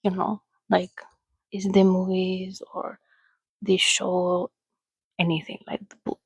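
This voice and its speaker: A woman voicing an ancient female vampire in a soft-spoken, breathy ASMR roleplay, coaxing and gently teasing as she hosts a listener. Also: fairly low pitch, completely flat delivery, no echo, exaggerated voice. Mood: soothing, inviting. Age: over a thousand years old, as played. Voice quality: breathy, whispery. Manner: slow, coaxing, conversational with pauses.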